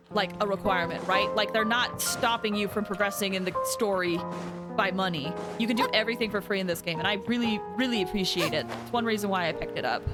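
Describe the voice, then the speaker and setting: A woman game streamer chatting casually to her live viewers. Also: mid-range voice. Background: game music.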